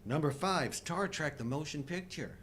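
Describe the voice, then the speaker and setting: Middle-aged man, lively swooping pitch. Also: moderate pace. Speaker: co-host of a live chat show. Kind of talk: casual movie chat with joking commentary. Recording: low rumble and background noise.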